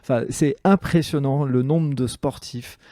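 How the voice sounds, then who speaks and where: A man's voice: mid-range voice, unhurried, warm tone, no echo, studio quality. A man hosting a podcast in a chatty, rambling, enthusiastic way. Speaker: podcast host and interviewer. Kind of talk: casual conversational interview.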